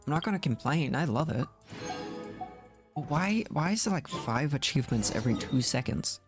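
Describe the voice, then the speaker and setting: Animated woman streaming a game. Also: low voice, normal speed, over music. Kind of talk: casual gameplay commentary, muttering to self.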